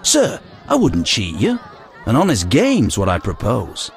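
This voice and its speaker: A wheedling dice gambler from a fantasy game, in a medium-pitched voice that swoops dramatically. He is courteous and flowery, with an insinuating manner. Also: huge pitch swings, measured pace, vocal fry, heavily acted. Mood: coaxing. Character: smooth-talking, wheedling male dice gambler. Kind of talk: video game dialogue acting.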